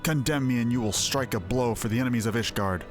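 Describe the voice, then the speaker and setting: A man plays a proud fantasy-game lord accused of heresy. He declaims defiantly and with passion, with clear music behind him. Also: medium pitch, fast talker, close to an everyday voice. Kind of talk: fantasy game dialogue read aloud.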